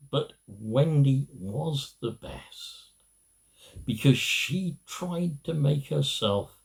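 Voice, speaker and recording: A middle-aged man reading a children's storybook aloud, with expressive, deliberate phrasing and pauses. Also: medium pitch, creaky. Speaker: amateur reader.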